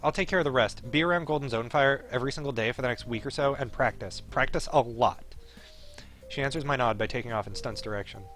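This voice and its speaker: A man's voice: medium pitch, quick pace, a bit bright, faint music behind. A man streaming a visual novel, reading it aloud with casual asides and correcting his slips as he goes. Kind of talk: livestream let's-play narration with casual asides.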